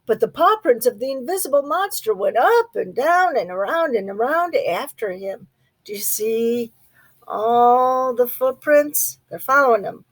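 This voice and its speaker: A woman reading a children's storybook aloud expressively, pausing to put questions to young listeners. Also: a little high, animated, low-quality recording. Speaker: storybook reader. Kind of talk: children's read-aloud narration.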